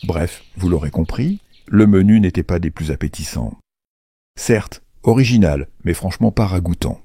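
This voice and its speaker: Low, husky, mellow male storyteller. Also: expressive, moderate pace, no room sound, clean studio sound. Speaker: storyteller narrator. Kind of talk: scripted children's fairy-tale narration.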